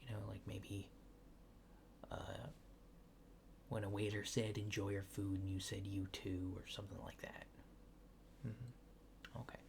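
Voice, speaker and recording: A soft-spoken man performs an ASMR roleplay at an unhurried, slow pace. His hushed voice has a gravelly fry and often slips toward a whisper, polite and clinical but hesitant. There is noticeable background noise in the recording. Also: on the low side, flat delivery. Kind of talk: soft-spoken ASMR roleplay as an alien abductor examining a subject.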